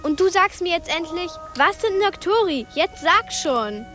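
A woman's voice: very high-pitched, lively intonation, a little slow, a bit bright, soft background music, full character voice. An actress playing a plucky, curious twelve-year-old girl in a radio play, direct and insistent. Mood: urgent, demanding. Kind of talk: radio play acting and narration.

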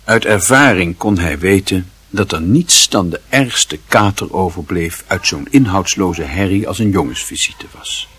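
A man's voice: low voice, unhurried, hardly any pauses, fairly bright tone, slightly rough. A man reading scripted literary narration as the narrator of a radio drama.

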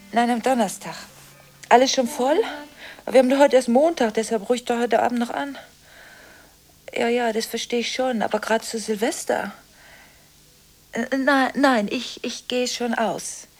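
An actress playing a volatile, contradictory school headmistress in a radio drama, talking restlessly on the phone, breaking off and repeating herself. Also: female voice, a little high, creaky, darkish tone. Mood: flustered, defensive.

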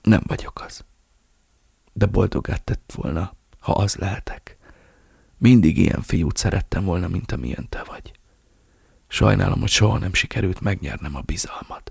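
A man's voice: sits low, pauses often, a little mellow, dry recording, clean studio sound, exaggerated voice. Man doing a dying old crime boss, whispering. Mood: weak whisper. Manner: weak, breathy near-whisper.

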